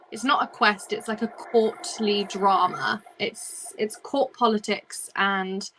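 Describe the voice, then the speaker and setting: A woman's voice: mid-range voice, sharp tone, rough recording. A woman hosting a casual book discussion on a livestream, talking in a chatty, informal way. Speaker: host of a book discussion live show.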